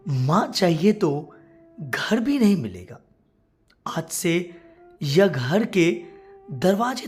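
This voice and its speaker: A woman's voice: low voice, darkish tone, slightly rough, heavily acted. Woman voicing a scornful, emphatic callous elder brother. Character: callous, mocking eldest brother, a village man.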